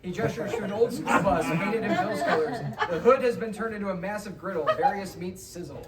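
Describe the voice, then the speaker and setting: Medium-pitched narrator, scripted stage directions, comedy table read, echoey room, audience behind. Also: brisk, recorded from a distance, a loud crowd.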